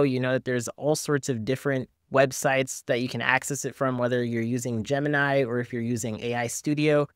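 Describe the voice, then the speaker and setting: A man's voice: medium pitch, smooth, no echo. A man in his thirties giving a casual screen-share review, conversational and candid, speaking directly to viewers into a close desk microphone. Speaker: host, tech reviewer. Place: small home office or studio, indoors. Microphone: desktop microphone close in front.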